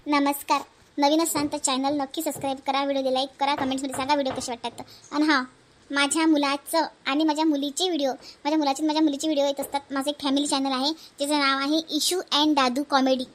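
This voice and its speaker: A woman's voice: high voice, level tone, fast, a little hiss or hum. A woman hosting her cartoon channel, addressing viewers casually with emphatic, repeated requests. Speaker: cartoon channel creator and host.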